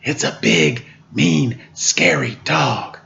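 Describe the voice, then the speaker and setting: A sneaky, silly storybook burglar, acted out by a man reading aloud, speaking in an exaggerated hush. The pitch swings about, and the recording is a little dull. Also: animated, takes it slowly, gravelly, a little hiss or hum, heavily acted. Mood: alarmed. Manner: hushed, exaggerated. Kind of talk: children's storybook character reading.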